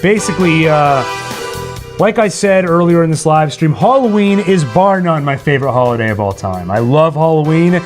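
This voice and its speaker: A man's voice: on the high side, lively intonation, normal speed. A man hosting a casual livestream, chatting in a jokey, rambling way. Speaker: livestream host. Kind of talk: casual livestream chat and sign-off. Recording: music playing behind the voice. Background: Halloween novelty songs.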